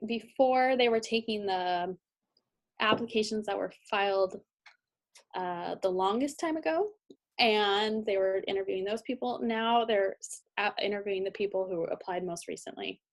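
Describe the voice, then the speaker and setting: American woman giving a halting training talk. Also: mid-range voice. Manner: hesitant, halting, with frequent pauses and ums. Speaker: immigration lawyer giving training. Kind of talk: instructional slide presentation, semi-scripted.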